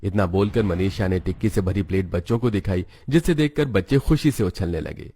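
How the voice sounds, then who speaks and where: A man with a low voice narrates a children's cartoon tale. He reads from a script in a storybook style, as the narrator of the story. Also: brisk, mellow, faint music behind.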